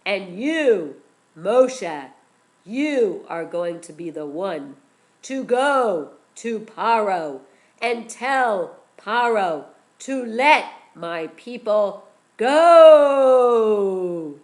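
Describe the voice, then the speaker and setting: A woman voicing a powerful male divine voice speaking from a burning bush, slow, loud and commanding. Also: medium pitch, lively intonation.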